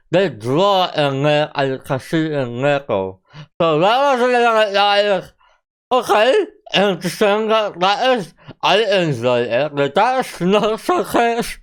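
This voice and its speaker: Man, reaction host, smooth clear voice, fairly high pitch, casual halting commentary. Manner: halting, restarts phrases, exclaims at clips.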